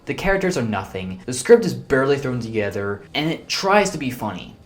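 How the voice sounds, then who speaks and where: A man's voice: mid-range voice, big pitch swings, a little fast. A young man reviewing movies in a casual, blunt rant full of fillers, sounding exasperated. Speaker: video reviewer.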